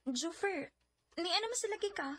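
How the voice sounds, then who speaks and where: High-voiced, reluctant young Bisaya woman. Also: expressive, a little fast, a bit bright, a little hiss or hum. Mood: reluctant, guarded. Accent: Filipino, Bisaya. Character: young woman reluctantly turning down her suitor. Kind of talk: radio drama acting.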